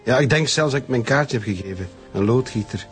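A man's voice: mid-range voice, lively intonation, a little fast, close to an everyday voice. Man playing a defensive Flemish office manager. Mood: rattled. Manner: defensive, easily rattled. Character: defensive, crude male postal department director. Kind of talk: naturalistic radio drama acting. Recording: music under the dialogue.

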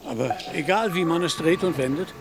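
An actor in a German radio play as a deluded, grandiose knight-errant, declaiming in lofty archaic phrases. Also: male voice, a little high, a little fast, creaky, noisy background. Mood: solemn, stately. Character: pompous, deluded knight-errant, an ageing gentleman, lofty and fiery.

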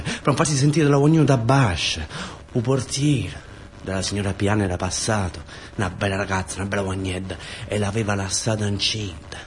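A man's voice: on the low side, slight background noise. A man with a southern Italian accent performs a narrative monologue, repeating short phrases in an incantatory rhythm. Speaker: actor and storyteller in a radio theatre monologue.

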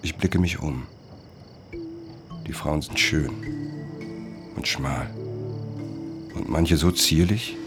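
A man playing a sombre traveller, narrating a literary radio drama in a measured, descriptive way. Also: rumbling low.

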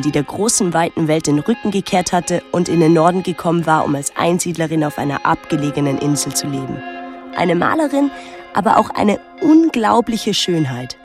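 Casting: young actress as pensive, detached teenage girl, introspective radio drama narrator, measured delivery, music underneath. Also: lowish voice, lightly acted. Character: pensive, detached teenage daughter of a reclusive painter. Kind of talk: literary first-person radio drama narration.

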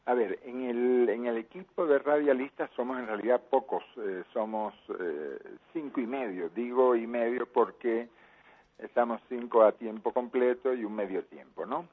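A man from Cuba, a radio producer, talking as an interview guest in a measured way, pausing often to think. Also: mid-range voice, slightly rough. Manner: measured, thinking aloud with many pauses. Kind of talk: phone interview. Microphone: phone. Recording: tinny phone line.

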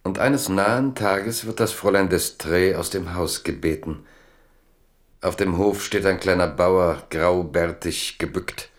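Composed narrator for a historical radio play, really low voice, scripted storytelling. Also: male voice, unhurried.

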